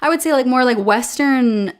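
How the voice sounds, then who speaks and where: A woman in her thirties with a Canadian accent, a guest chatting casually in a relaxed way with fillers, over a video call. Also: high-pitched, moderate pace. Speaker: podcast guest, English teacher. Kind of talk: casual interview chat. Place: home room with a painting behind her.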